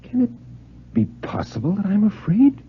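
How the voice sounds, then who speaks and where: A man reading as an agitated, husky-voiced proud nobleman. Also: sits high, sing-song, moderate pace, pitched above the performer's own voice, full character voice. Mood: anxious dread. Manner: tense, self-questioning, forcing himself to be firm. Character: agitated, proud French nobleman dreading a duel. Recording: muffled, band-limited 1950s radio recording.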